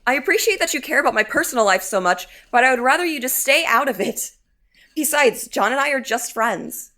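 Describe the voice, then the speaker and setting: Feisty younger sister, woman acting: high, bright, indignant and defensive, audio drama. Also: lively intonation, fairly quick. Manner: sharp, indignant.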